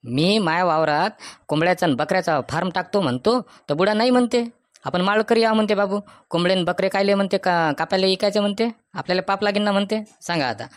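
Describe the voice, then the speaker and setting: Cartoon village bachelor, male, voiced by an actor: defensive grumbler, Varhadi dialect, mid-range pitch, complaining. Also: fast talker, lightly acted. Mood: grumbling. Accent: Varhadi Marathi from Vidarbha.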